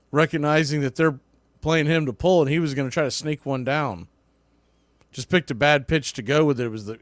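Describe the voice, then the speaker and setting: Guy doing baseball radio play by play, kinda high voice, clipped phrases, dry close sound. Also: dry recording. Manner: clipped play-by-play phrasing, bursts of excitement on hit balls. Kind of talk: live sports radio commentary.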